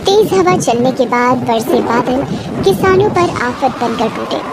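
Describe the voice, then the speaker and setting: A woman's voice: sits high, expressive, a little slow, noisy background. A woman reading scripted, dramatic story narration.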